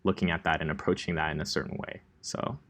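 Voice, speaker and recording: A young man from Los Angeles teaching a coding class in a relaxed, chatty way, with many ums and likes. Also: a bass voice, fast talker. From Los Angeles, California. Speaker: coding instructor. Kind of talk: casual classroom lecture.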